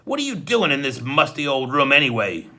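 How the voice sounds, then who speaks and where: A man voicing a sneering, threatening henchman in a storybook read-aloud, with a croaking voice and sharp, emphatic threats. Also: mid-range voice, very animated, fairly quick, heavily acted. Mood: brusque.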